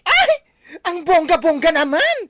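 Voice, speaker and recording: A woman playing an excitable, easily impressed village gossip in an Ilocano radio comedy, exclamatory and dramatic, with an Ilocano accent. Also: very high-pitched, unhurried, no echo, full character voice. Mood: astonished.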